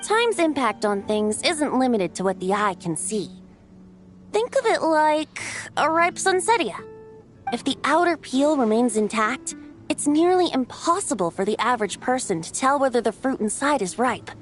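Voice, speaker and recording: A boyish bard god from a video game, with a light, high voice. He speaks like a poetic storyteller, playful yet wise, with a theatrical lilt. Music plays behind the voice. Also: sing-song, moderate pace. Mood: solemn, poetic. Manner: lyrical, theatrical, gently teasing storyteller. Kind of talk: video game character dialogue.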